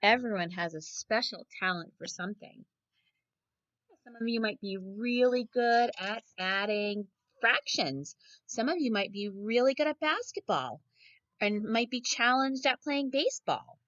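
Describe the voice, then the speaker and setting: A woman's voice: a little high, expressive, measured pace, fairly bright tone, dry recording, muffled recording. A woman reading a storybook and voicing a kind, encouraging female teacher who reassures her class.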